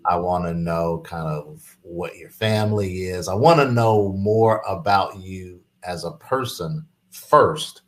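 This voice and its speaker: A man who is a business executive guest in an interview, explaining steadily with many fillers and quick affirmations. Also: deep voice. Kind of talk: casual interview chat.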